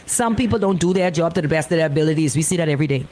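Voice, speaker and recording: A woman's voice: sits low, animated, very fast, darkish tone. A woman with a Bahamian accent hosting live talk radio, speaking emphatically and repeating phrases to drive her point home. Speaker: radio talk show host. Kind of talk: live radio call-in and banter. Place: radio studio.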